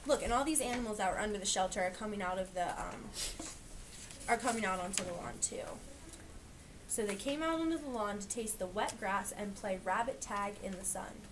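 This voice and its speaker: A woman reading a picture book aloud to young children, pausing to explain and point things out. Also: mid-range voice, noisy background. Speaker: reader teaching young children.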